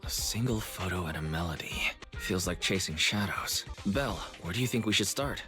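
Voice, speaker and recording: A man's voice: sits low, animated, moderate pace, over music. A man playing a mild, easygoing young brother in a video game, courteous and a little hesitant.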